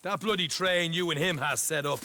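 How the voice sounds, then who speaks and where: Game role: cocky Irish lad, high bright voice, theatrical and quick to needle. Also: male voice, slight background noise. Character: cocky, hot-headed young Irish outlaw lad. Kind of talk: animated video game acting.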